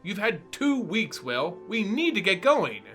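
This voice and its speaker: Guy doing impatient bossy sister, brisk and commanding, bright voice, fantasy story. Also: high voice, big pitch swings, soft background music, pitched above the performer's own voice, full character voice. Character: impatient, bossy young royal twin sister.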